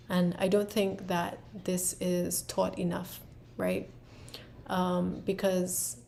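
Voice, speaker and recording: A woman's voice: fairly low pitch, vocal fry, a little hiss or hum. A woman from Barbados with a Barbadian accent, casually walking viewers through her planner with lots of "um" and "right?". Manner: relaxed, many fillers and "right?" tags. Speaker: planner creator and presenter. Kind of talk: casual explanatory walkthrough.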